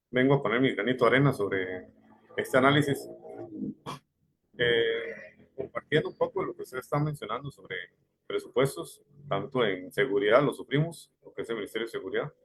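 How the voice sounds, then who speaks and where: A man, a police officer guest on a panel discussion, speaking politely and haltingly, often repeating words as he searches for them. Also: lowish voice, a bit bright, breathy edge. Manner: polite, hesitant with repetitions.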